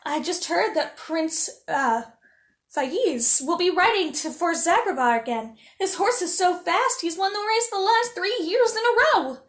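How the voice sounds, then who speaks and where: A woman voicing a doting, anxious, excitable old sultan in a storybook reading, fussy and full of exclamation. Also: extremely high pitch, measured pace, pitched above the performer's own voice. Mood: worried.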